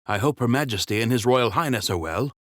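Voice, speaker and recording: A man's voice: medium pitch, animated, brisk, a bit bright, dry recording, studio quality, voice pushed up. A man voicing a loyal, reserved rabbit ship captain who speaks politely and respectfully in an audiobook.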